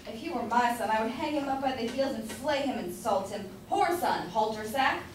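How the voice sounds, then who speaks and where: A woman's voice: on the high side, normal speed, close to an everyday voice. A young woman acting a blustering, opinionated citizen who interjects in a staged reading of a Jacobean comedy. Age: young adult. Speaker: student actor. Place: indoor room, far from the mic. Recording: distant, very echoey room, faint noise.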